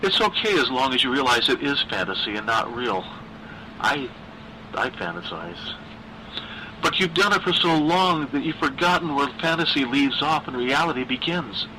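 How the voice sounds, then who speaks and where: A man voicing a weary, frightened middle-aged woman who reasons and coaxes in an earnest, halting way in a horror story. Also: medium pitch, animated, bright, forceful tone, a little hiss or hum, voice pushed up, rough recording. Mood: weary coaxing.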